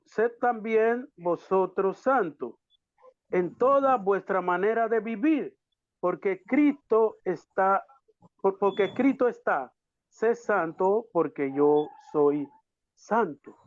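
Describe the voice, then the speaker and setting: A man preaching a sermon as a pastor, haltingly, repeating words for emphasis. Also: sits high. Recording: echoey, dull lo-fi audio.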